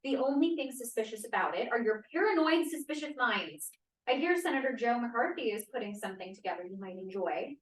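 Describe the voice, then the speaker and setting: A woman's voice: a little high, expressive, fairly quick, natural voice. An actress playing a clever, defensive young woman scientist and inventor in a 1950s period drama at a table read. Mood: defensive, wry. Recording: echoey room, distant microphone.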